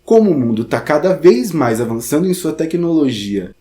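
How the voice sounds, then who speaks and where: Brazilian male presenter with a slightly high voice; theatrical, conversational teacher addressing the viewer in an informal lesson. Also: sing-song, moderate pace, dark tone. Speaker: theatre teacher and podcast host.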